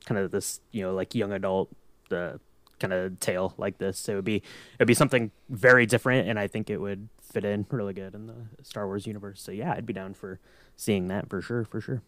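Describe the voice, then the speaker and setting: A man's voice: lowish voice, fairly even intonation, fairly quick, fairly bright tone, no echo. A man chatting casually on a fan podcast in an easygoing, somewhat hesitant way. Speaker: podcast panelist.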